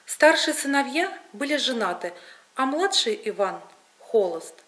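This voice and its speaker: A woman storyteller reading a scripted folk tale in an expressive, deliberate way. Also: high voice.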